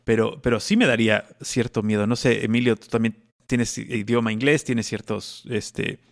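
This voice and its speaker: An easygoing man hosting a casual radio chat, with a gravelly edge to his voice. He agrees readily and thinks aloud with fillers. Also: mid-range voice, steady tone, moderate pace. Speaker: radio host.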